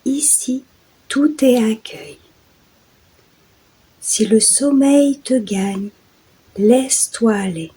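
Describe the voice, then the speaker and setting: A woman guides a sleep meditation at an extremely unhurried pace. Her voice is mellow and very breathy, slipping often into a soft whisper. The delivery is hushed, soothing and deliberate. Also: a little high, rough recording. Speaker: guided meditation host and yoga nidra guide.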